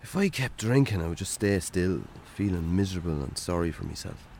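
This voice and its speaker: An actor playing a weary, wry Irish farmer in a naturalistic radio drama. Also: male voice, sits low, animated, a little fast, a little mellow, slight background noise. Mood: reflective, subdued.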